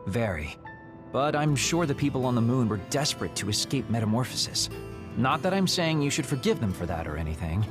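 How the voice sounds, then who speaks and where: A man playing a knowledgeable, measured character who explains things in a sci-fi anime game dub. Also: medium pitch, very animated, natural voice. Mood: sober. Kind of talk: English game dub acting, explanatory dialogue. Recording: music behind the voice.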